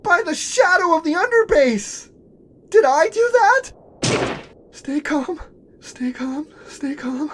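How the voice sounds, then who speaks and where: A woman's voice: very high voice, lively intonation, slow, long pauses, full character voice. A woman voicing a small, naive, anxious male robot in a motion comic, earnest and easily panicked. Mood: bewildered. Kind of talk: motion comic character acting. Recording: clearly noisy background.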